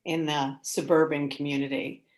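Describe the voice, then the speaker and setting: Mature female, American accent, lowish pitch, conversational storyteller on a video call. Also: level tone, normal speed. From United States. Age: middle-aged or older. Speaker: discussion participant. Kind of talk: casual personal storytelling. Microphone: video call microphone.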